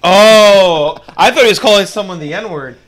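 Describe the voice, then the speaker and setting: A man chatting casually on voice chat, mock-earnest and deadpan. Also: high-pitched, normal speed, faint music behind.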